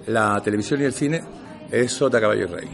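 A middle-aged man from Seville talks easily in an interview. His voice sits on the lower side. He brings his anecdotes alive by acting out what each person said. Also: brisk, creaky, music in the background. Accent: neutral Castilian Spanish for work, Andalusian at home. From Seville, Spain. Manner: animated storyteller, acts out quoted dialogue. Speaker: actor, interview guest. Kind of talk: casual interview talk.

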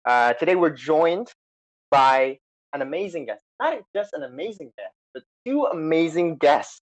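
A man hosting a podcast over a remote call, excited and chatty, with many fillers and restarts. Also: fairly high pitch, measured pace. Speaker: podcast host. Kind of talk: casual chat. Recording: slightly dull lo-fi sound.

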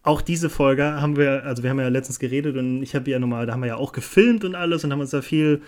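A man's voice: medium pitch, rapid-fire, darkish tone. A young man chats casually as a podcast co-host, rambling and joking in colloquial slang. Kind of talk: casual unscripted podcast chat. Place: small hotel room.

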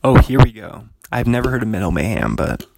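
A man's voice: on the low side, animated, brisk, gravelly, noisy background. A man hosting a podcast on his own, rambling in a loose, chatty way and often chuckling between thoughts. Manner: rambling, chuckling, heavy use of "like". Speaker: podcast host. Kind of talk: casual solo podcast monologue.

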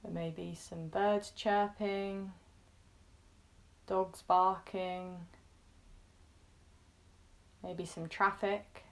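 A woman leading a guided meditation in a gentle, reassuring manner, speaking in short phrases with pauses between them. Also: mid-range voice, little pitch movement, gravelly.

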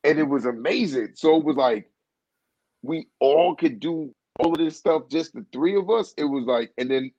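A man's voice: fairly high pitch, lively intonation, moderate pace, warm tone, dry recording. A man being interviewed as a singer, telling a long casual story with lots of "you know what I'm saying" and restarts. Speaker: guest singer. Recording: dull, dry muffled recording.

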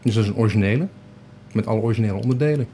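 A man's voice: fairly low pitch, racing, dark tone, slight background noise. A man, an organist, introducing himself and his instrument in casual interview talk. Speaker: Hammond organist.

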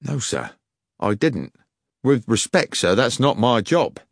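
A man's voice: medium pitch, very animated, slow, creaky, no echo, clean studio sound, pitched above the performer's own voice, full character voice. A man voicing an honest, anxious working-class railway guard who answers deferentially and insists on his story. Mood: defensive.

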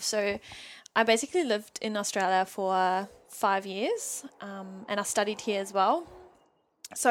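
A woman's voice: mid-range voice, fairly bright tone. A woman in her twenties from Germany with a German accent, chatting as a guest on a podcast about her travels and coaching work, laughing often and rambling a little. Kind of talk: casual podcast interview.

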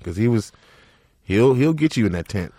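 Lively low-voiced man joking around. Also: moderate pace, breathy edge, no room sound, clean studio sound. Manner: animated, joking, swearing. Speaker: podcast host. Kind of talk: casual comedic chat.

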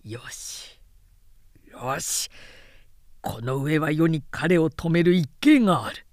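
A woman's voice: deep voice, expressive, takes it slowly, darkish tone, dry recording, clean studio sound. A woman voicing a commanding, scheming warlord in an audiobook, brooding in a weighty mutter. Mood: scheming resolve. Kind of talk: audiobook character acting.

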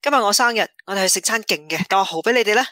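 A woman with a Hong Kong Cantonese accent voicing a brash, generous godmother in a radio drama, who talks in a bossy, brisk way. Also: mid-range voice, pushed and bright, no echo, clean studio sound, close to an everyday voice. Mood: cheery.

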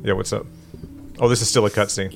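A man casually chatting and joking while playing a video game on a podcast, reacting loudly to the screen. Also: fairly low pitch, fast talker, vocal fry, faint music behind. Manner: jokey, animated reactions. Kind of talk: casual chat while gaming.

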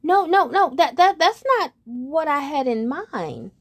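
A woman's voice: high voice, expressive, unhurried, pitched above the performer's own voice. A woman voicing a shy but hopeful young male frog who stammers when nervous.

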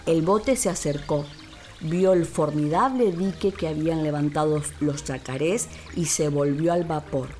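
A woman narrating a children's story in an expressive, deliberate, theatrical way. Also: low-pitched, slight background noise. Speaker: actress, storyteller.

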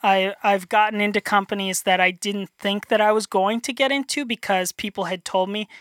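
High-voiced young man, dry sound. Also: steady tone, moderate pace, a bit bright, dry recording. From North Dakota. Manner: rambling, self-deprecating, frequent restarts and chuckles. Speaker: illustrator guest. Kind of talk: casual interview chat.

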